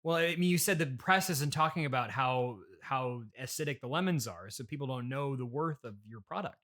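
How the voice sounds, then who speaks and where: A man hosting an improvised comedy interview, asking halting, skeptical questions in a deadpan conversational style. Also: mid-range voice. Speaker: podcast host, interviewer.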